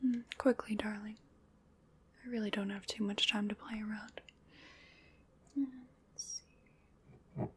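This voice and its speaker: A woman playing a playful, fussy vampire in a whispered ASMR roleplay, musing softly and coaxing with a mildly bossy touch. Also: mid-range voice, little pitch movement, a little slow, long pauses, a little hiss or hum, close to an everyday voice. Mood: coaxing, polite. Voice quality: soft breathy whisper. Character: playful, fussy female vampire seasoning her human snack.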